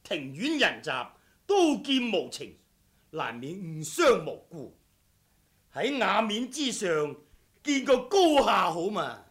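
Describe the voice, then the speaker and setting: A man's voice: high voice, sing-song, slow pace, a bit bright, exaggerated voice. A man acting a vengeful, sardonic swordsman in a Cantonese radio drama, with a mock-courteous, taunting, theatrical manner. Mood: exultant, challenging. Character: vengeful, sardonic swordsman come to settle a blood debt.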